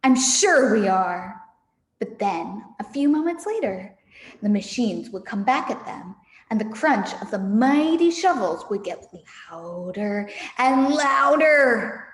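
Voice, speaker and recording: A high-voiced woman reads a children's story with lively, dramatic expression. She takes her time over the words. The room rings with a long echo. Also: slow pace. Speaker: storybook reader for a camp audience. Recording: echoey room with long reverb.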